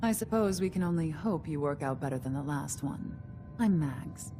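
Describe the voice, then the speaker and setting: An actress playing a haughty, calculating gang leader in a video game, refined, condescending and sardonic. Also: female voice, low-pitched, animated, moderate pace, music in the background. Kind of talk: video game dialogue acting.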